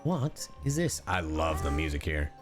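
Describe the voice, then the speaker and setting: A man's voice: very deep voice, sing-song, moderate pace, over loud music. A man streaming a game, chatting casually with his viewers, joking around and swearing freely. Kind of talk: casual livestream commentary.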